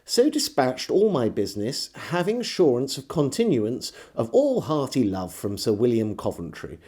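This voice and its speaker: A man reading a historical diary aloud as a storyteller, in measured, theatrical scripted narration. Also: mid-range voice, big pitch swings, warm tone, slightly rough.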